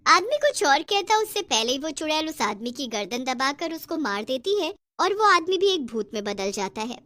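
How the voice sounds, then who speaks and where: Female horror storyteller, high voice, scripted narration, dry studio-like sound. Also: sing-song, normal speed, bright, forceful tone, no room sound.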